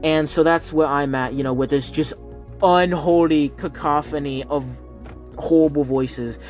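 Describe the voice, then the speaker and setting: A man's voice: fairly high pitch, fairly even intonation, a little slow, darkish tone. Southern guy, heavy lisp and speech impediment, rambling casual vlog talk, phone-recorded over faint music. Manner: rambling, earnest, with fillers. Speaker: YouTuber. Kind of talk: casual chat to viewers.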